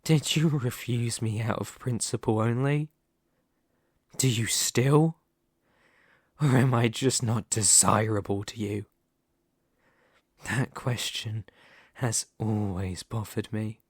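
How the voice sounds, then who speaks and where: A man playing a dominant, vain and possessive demon lord in an ASMR roleplay, speaking formally and theatrically with deliberate dramatic pauses. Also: lowish voice, fairly even intonation, breathy edge, dry recording, clean studio sound, heavily acted. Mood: brooding, probing. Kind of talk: ASMR roleplay character monologue.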